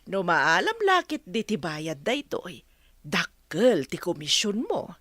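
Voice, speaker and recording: A sly, bossy woman with an Ilocano accent, her pitch swinging dramatically in a very dry, close sound. She gives orders like a schemer in a radio comedy-drama. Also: sing-song, takes it slowly, fairly bright tone, no echo, exaggerated voice. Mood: coaxing, instructing. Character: secretive, bossy best friend handing out shady deliveries.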